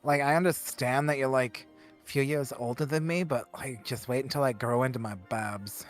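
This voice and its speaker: A man's voice: mid-range voice, normal speed, faint music behind, lightly acted. Man putting on a coy, smitten teenage girl. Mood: cheeky. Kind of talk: improvised comedic fan dub.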